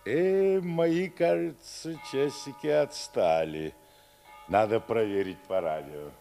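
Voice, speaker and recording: An actor playing an easygoing, wry army commissar in 1950s Soviet radio drama style, on a noisy old recording. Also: male voice, mid-range voice, takes it slowly, vocal fry, music in the background. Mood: musing. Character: easygoing, wry regimental commissar, teasingly obedient husband.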